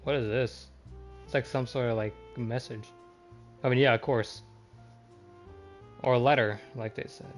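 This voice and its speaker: A man livestreaming a game with casual, halting commentary as he thinks aloud. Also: mid-range voice, fairly even intonation, faint music behind.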